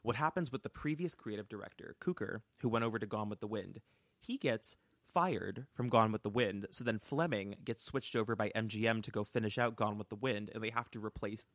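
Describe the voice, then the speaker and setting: Young male podcast co-host, low voice, dry close mic, casual and chatty, rambles with fillers. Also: dry recording. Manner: chatty, animated, full of "like" and restarts. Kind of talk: casual storytelling chat. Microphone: close studio mic.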